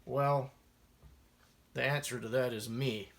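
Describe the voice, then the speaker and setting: A man's voice: mid-range voice, steady tone, slow, creaky. A man reading his own first-person memoir aloud as a musician, in a wry storytelling manner.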